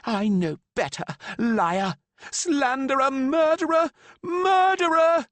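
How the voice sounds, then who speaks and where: A man's voice: very high-pitched, slow pace, fairly bright tone, no room sound, pitched above the performer's own voice, exaggerated voice. A man voicing a grieving mother in mourning who harshly accuses and snarls at a rider. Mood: sneering rage. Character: grief-crazed mother in deep mourning, furious.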